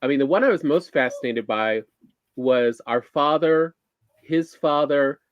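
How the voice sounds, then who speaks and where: Halting Southern man, dull dry sound. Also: a little high, no room sound. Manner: halting, searching for words. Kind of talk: casual chat.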